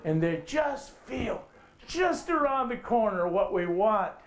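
A man's voice: high voice, big pitch swings, slow pace. A middle-aged man preaching from a lectern on stage at a conference, emphatic and full of rhetorical questions, his voice rising when he quotes scripture. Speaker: conference speaker. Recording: echoey hall.

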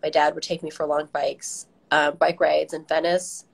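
Low-voiced middle-aged American woman. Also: flat delivery, moderate pace, creaky, slightly rough. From Southern California, US. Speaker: interview guest, garden director. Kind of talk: reflective interview answers. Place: indoor room at home, video call. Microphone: video call, device at arm's length.

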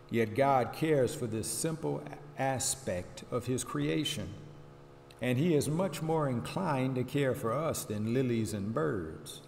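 An older male pastor delivers a sermon with measured emphasis and deliberate pauses. His voice sits at a middle pitch and rings through a roomy, reverberant church, with a faint hum of noise behind it. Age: 60s or older. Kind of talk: sermon with scripture reading. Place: wood-panelled church sanctuary, indoors. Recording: echoey church hall, steady hum.

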